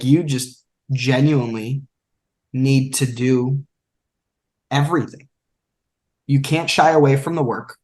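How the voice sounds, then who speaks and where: A young man in his twenties, a podcast guest speaking emphatically in a coaching, motivational way. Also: mid-range voice. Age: 20s. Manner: emphatic and coaching, acts out quotes. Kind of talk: motivational conversation.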